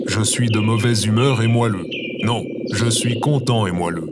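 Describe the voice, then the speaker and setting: A man playing a moody, blasé adolescent cat with a deadpan, declarative delivery in an audio drama. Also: lowish voice, normal speed, loud music behind the voice, close to an everyday voice. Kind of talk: scripted audio fiction acting.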